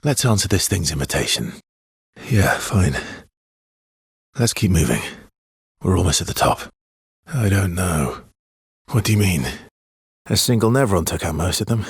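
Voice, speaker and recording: Male game hero: weary, guarded expeditioner with quiet regret. Very raspy, low voice, understated and brooding. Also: expressive, a little slow, pauses often, no echo, studio quality, heavily acted. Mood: guarded, matter-of-fact. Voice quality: husky, breathy. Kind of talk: video game voice lines, dramatic acting.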